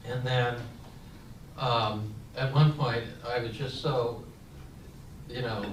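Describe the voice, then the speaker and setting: An older man who grew up in San Francisco, speaking as a veteran on a panel and telling his own story in a reflective way, with frequent fillers and restarts. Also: mid-range voice. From San Francisco, United States. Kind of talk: personal storytelling at a panel discussion. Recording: echoey, distant mic with room noise.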